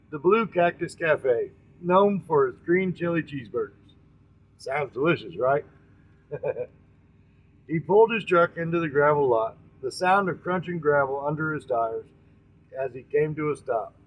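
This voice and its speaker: A man hosting an informal storytelling session, speaking in a folksy, halting way, often repeating and correcting his words and addressing listeners directly. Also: a little high, narrow, tinny audio. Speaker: storytelling host.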